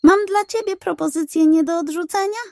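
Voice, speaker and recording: A woman's voice: extremely high pitch, normal speed, darkish tone, dry recording, studio quality, pitched above the performer's own voice. A woman voicing a scheming, hungry male pug in a children's storybook, with a pleading, theatrical, self-important manner. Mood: eager, persuasive.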